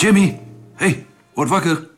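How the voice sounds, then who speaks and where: An actor playing a brisk, friendly spaceship crewman in a radio drama. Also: fairly high pitch, faint music behind, natural voice. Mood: brisk, urging.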